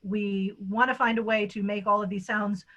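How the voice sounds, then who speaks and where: A woman's voice: mid-range voice, level tone, normal speed, a bit bright, highs cut off. A woman chatting and explaining in a casual way as she hosts a theatre discussion over a video call. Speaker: radio theatre director hosting a post-show talk. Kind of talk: casual panel talk. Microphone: video call microphone.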